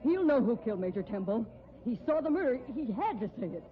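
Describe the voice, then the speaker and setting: An actor playing an anxious, eager sideshow performer, urgent and excitable, in a 1950s radio drama. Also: male voice, very high voice, fast, a crowd in the background. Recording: muffled, noisy 1950s radio recording.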